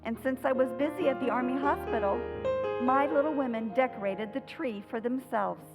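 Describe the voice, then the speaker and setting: A woman's voice: high voice, normal speed. A woman playing a fond, wistful mother narrating an old-time radio play with sentimental storytelling. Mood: nostalgic. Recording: loud music behind the voice.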